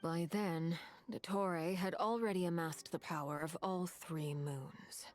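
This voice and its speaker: A woman voicing a stern, resolute noblewoman in a video game, speaking formally and deliberately. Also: on the low side, fairly even intonation, soft background music, natural voice. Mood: scornful, grave.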